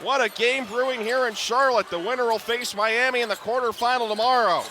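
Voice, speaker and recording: A middle-aged man calling live basketball on radio in clipped running descriptions that can rise to excited calls. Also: extremely high pitch, fairly quick, background noise. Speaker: play-by-play basketball announcer. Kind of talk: live radio sports commentary. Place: courtside in a basketball arena.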